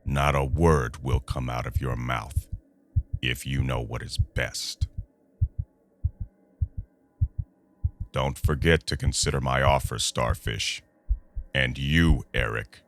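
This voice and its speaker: Figure: menacing boss, acted by a man. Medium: audio drama. Pitch: extremely low. Pace: slow. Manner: condescending, deliberate. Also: steady tone, a little hiss or hum. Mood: angry, threatening. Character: menacing, smooth-talking boss recruiting with veiled threats.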